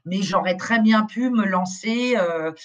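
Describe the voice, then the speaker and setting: A woman's voice: mid-range voice, a little slow. A middle-aged woman whose first language is German, a company director talking casually in a live interview, full of fillers and restarts. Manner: chatty, colloquial, many fillers and restarts. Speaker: agency director, guest.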